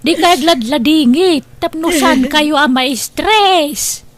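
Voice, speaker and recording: A caring young daughter in an audio drama, with a very high voice and slow pacing. She sounds gentle and consoling, with an Ilocano Filipino accent. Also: music in the background. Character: caring, resilient young daughter comforting her mother. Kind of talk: Ilocano radio drama acting.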